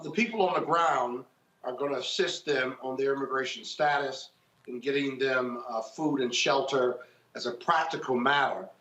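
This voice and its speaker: A man with a mid-pitched voice explains matters as a news panel analyst. His delivery is measured and lawyerly, and the sound is roomy and a little dull, like a remote feed. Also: fairly bright tone. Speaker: legal analyst, panel guest. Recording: echoey, slightly dull remote-call audio.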